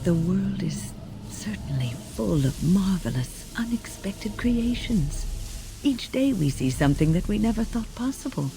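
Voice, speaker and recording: An actress playing a serene, wondering companion in a fantasy game, speaking in a measured, reflective manner. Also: female voice, medium pitch, animated, creaky, background noise.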